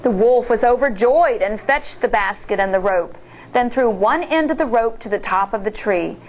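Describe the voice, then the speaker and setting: A woman teacher reading a storybook aloud to children with deliberate, expressive delivery and pausing to comment. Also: on the high side, dull audio, a little hiss or hum.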